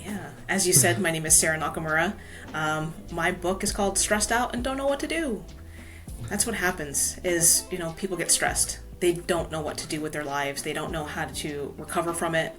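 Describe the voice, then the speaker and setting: A woman's voice: sits low, moderate pace, fairly bright tone, soft background music. A woman from the United States, a guest author, telling her personal story in a frank, conversational way. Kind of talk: casual interview storytelling.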